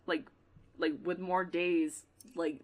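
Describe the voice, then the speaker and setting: A woman chatting loosely with friends on a podcast. She rambles and restarts often, leaning on "like" in nearly every line. Her voice sits a bit low for a woman. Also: level tone, slow. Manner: rambling, heavy use of "like". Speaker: podcast co-host. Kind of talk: casual chat among friends.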